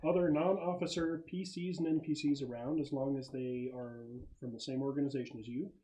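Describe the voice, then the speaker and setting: A man's voice: mid-range voice, fairly even intonation, a little fast, dark tone. A man acting as game master, talking casually and explaining rules at a tabletop session. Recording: clear background noise, clicks.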